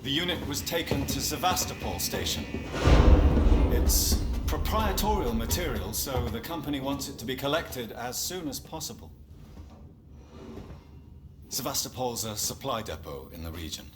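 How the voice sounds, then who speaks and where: An actor playing a polite, persuasive company man who talks in a measured, quiet way. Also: medium pitch, expressive, over loud music, natural voice. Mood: calm. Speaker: company representative.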